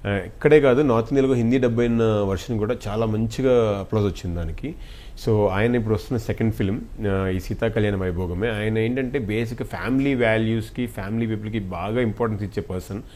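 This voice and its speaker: A man with a Telugu accent and a fairly low voice speaks as an actor being interviewed. He is earnest and effusive in his thanks, mixing in English phrases as he chats. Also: fast talker, a little mellow.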